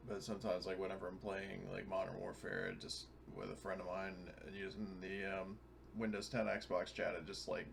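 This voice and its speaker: Gravelly man on a noisy gaming mic. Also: sits low, completely flat delivery, normal speed. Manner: informal, profane. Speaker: tabletop game player. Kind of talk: casual chat while playing. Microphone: online voice call.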